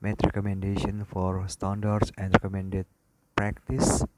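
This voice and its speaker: A man reading aviation study material aloud in a slow, halting way, often restarting a word. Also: low voice, completely flat delivery, heavy background noise. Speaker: aviation instructor.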